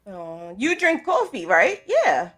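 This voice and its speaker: A woman's voice: mid-range voice, wildly swooping pitch, slow, fairly bright tone. A woman hosting a casual video chat with her aunt, reacting with short remarks and frequent laughs. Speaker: host.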